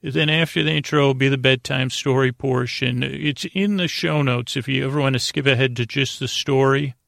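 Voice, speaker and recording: A man hosting a bedtime podcast in a gravelly voice, rambling haltingly and repeating words as he drifts into goofy, gently silly tangents. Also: mid-range voice, steady tone, no room sound, clean studio sound. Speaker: host of a bedtime sleep podcast. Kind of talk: rambling, meandering bedtime monologue.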